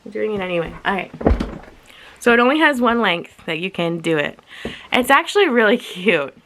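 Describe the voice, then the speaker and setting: A woman with a slightly raspy voice, chatting casually and thinking aloud as she presents an unboxing video with giggly asides. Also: mid-range voice, very animated, normal speed, noisy background. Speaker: unboxing and review vlogger.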